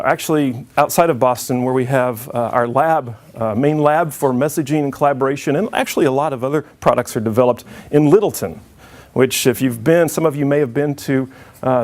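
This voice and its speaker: A man's voice: mid-range voice, darkish tone. A middle-aged man giving an informal conference presentation to an audience. He jokes and restarts his sentences often. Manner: friendly, joking, with frequent restarts.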